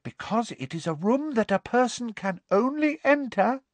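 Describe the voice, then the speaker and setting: Squeaky little house elf, super eager and servile, talks about himself in third person, dry sound. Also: male voice, lively intonation, moderate pace, fairly bright tone, no echo. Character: small eager devoted male house elf.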